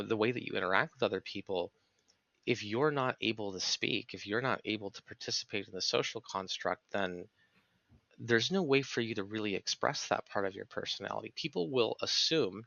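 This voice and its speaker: Man, podcast guest, forceful bright tone, earnest analytical explainer, reflective conversation, dry close-miked sound, slightly dull audio. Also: lowish voice, creaky, dry recording.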